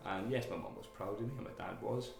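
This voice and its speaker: A man from Wales, a guest telling his own story in a candid interview, halting, with many fillers and restarts. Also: lowish voice, gravelly. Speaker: guest, army veteran. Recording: echoey room with music behind.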